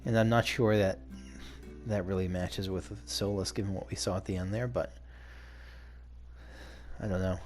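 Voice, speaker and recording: A man's voice: sits low, steady tone, moderate pace, pauses often, darkish tone, soft background music. A man hosting a let's play, giving casual, amused and enthusiastic game commentary.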